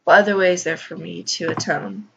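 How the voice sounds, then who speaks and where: A woman's voice: lowish voice, fairly even intonation, fairly quick, vocal fry, background noise. A woman voicing a meek, apologetic young girl in a visual novel read-aloud. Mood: resolved. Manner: apologetic, timid. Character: meek, guilt-ridden, obedient young girl.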